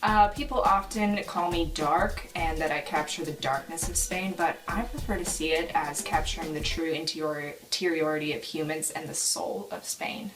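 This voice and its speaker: An earnest, brooding painter who talks reflectively about his dark work, played by a young woman. Her voice is low and a bit far from the mic, with music clearly underneath. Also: fairly even intonation, normal speed, hardly any pauses, close to an everyday voice. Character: brooding male romantic painter, aging. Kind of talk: amateur school video acting. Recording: distant mic with music behind.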